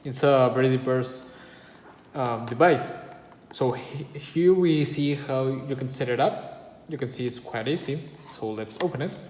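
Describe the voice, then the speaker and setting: A man giving a casual unboxing review as a product reviewer, with hesitant, halting phrasing. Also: medium pitch, darkish tone. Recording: echoey room with long reverb, faint noise.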